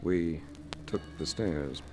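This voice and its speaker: An American actor playing a suave, wry private investigator, narrating in cool, dry 1950s hardboiled radio style. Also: male voice, low-pitched, takes it slowly, over music. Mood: dry narration. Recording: slightly noisy old radio recording.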